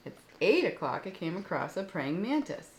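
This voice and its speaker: A woman with a fairly low voice tells children's stories aloud. She reads expressively and pauses to explain things to young listeners. The recording is roomy, with faint background noise. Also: sing-song. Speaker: children's story reader. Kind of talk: storytelling read aloud for children, with asides.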